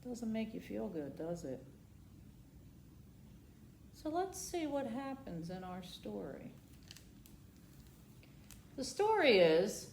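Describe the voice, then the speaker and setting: A woman's voice: medium pitch, a little hiss or hum. A woman reading a children's storybook aloud as a library storytime host, theatrical and often putting questions to young listeners. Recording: echoey room.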